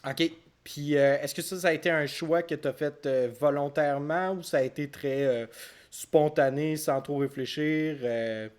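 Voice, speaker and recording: A man's voice: a little high, steady tone, measured pace, smooth. A man hosting a casual interview podcast, asking questions with many hesitations and fillers. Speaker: podcast host.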